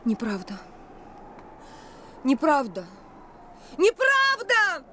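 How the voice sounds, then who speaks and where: An actress in a Soviet radio play, playing an impulsive, teasing young woman in love with theatrical, breathy delivery. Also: high-pitched, wildly swooping pitch, extremely slow, sharp tone, heavily acted. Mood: hurt, pleading. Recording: faint noise, old radio recording.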